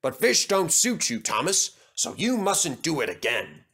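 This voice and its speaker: A man voicing a jovial but firm older gentleman who runs a railway in a children's storybook. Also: on the high side, huge pitch swings, a little slow, exaggerated voice. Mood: satisfied, firm.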